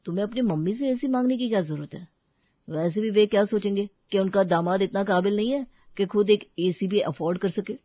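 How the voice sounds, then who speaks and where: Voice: mid-range voice, a little fast, no room sound, muffled recording, natural voice. An actor playing a proud, weary husband in a moral story, with an exasperated, reasoning delivery. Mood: reproving. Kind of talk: animated moral story voice acting.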